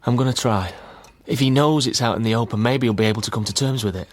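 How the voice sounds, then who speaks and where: An actor playing an earnest, wounded adult son shaken by family secrets, in naturalistic radio drama. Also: male voice, medium pitch, quick pace, lightly acted. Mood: worried, gentle. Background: music.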